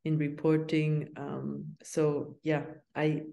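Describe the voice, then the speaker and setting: A Swiss woman whose first language is Swiss German, speaking English with a Swiss German accent as a guest journalist in a casual interview, thoughtful and hesitant with many pauses. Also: low voice, completely flat delivery, gravelly, dark tone. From Switzerland.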